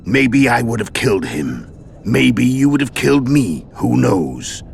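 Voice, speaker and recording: An actor playing a world-weary, cunning male assassin in a game cutscene, gravelly and measured, recounting things with dry irony. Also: very animated, slight background noise. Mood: frank, weighty. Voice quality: very deep, gravelly. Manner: measured, matter-of-fact storytelling with dry irony. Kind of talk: video game cutscene acting. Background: background music.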